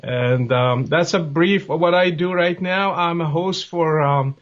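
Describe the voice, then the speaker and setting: A man's voice: fairly high pitch, measured pace. A man in his forties from Morocco, answering interview questions over a video call from a home office, friendly and hesitant with many fillers. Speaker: guest. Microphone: webcam video call. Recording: dull lo-fi video call audio.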